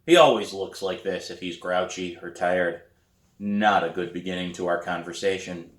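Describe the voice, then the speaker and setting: A man reading a children's novel aloud as its author, in an animated storytelling manner. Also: low voice, normal speed. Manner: animated, acts out dialogue. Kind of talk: expressive audiobook-style read aloud of a children's novel. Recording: roomy echo.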